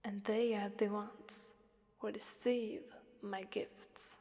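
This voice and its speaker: Girl doing a creepy hungry demon lady for asmr, super slow breathy whisper, teasing, big echoing reverb. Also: mid-range voice, level tone, darkish tone. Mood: sly, menacing. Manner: slow, teasing, menacing, drawn-out phrasing. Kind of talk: ASMR roleplay monologue. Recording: long hellish reverb.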